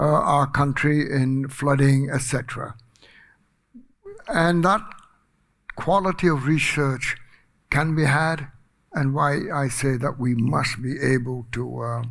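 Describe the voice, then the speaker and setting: An older man speaking on a policy panel, soft-spoken and hesitant, with frequent fillers. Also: mid-range voice, creaky. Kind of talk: panel talk.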